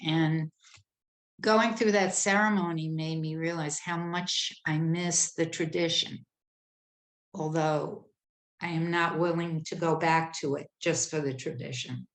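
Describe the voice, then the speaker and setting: Slow, reflective older woman on a video call. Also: low-pitched, roomy sound. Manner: slow, reflective, pausing mid-sentence. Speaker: participant. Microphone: video call computer mic.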